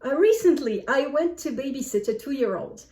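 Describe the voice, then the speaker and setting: A woman book vlogger chatting casually to camera in an animated, self-deprecating way. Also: high voice, moderate pace. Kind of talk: casual chat to camera, answering book prompts. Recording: echoey room, distant microphone.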